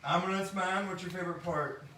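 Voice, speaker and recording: A man's voice: sits high, steady tone, quick pace, pushed and bright, far from the mic. A man hosting a casual live question-and-answer chat in an informal, joking way. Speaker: podcast writer and director, panel host. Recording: echoey room, off-mic, noisy background.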